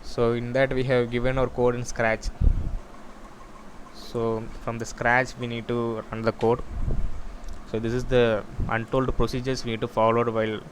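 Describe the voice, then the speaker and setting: A man giving an informal software demo walkthrough, explaining in a halting, repetitive way. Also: medium pitch, low-quality recording. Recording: clear steady background noise.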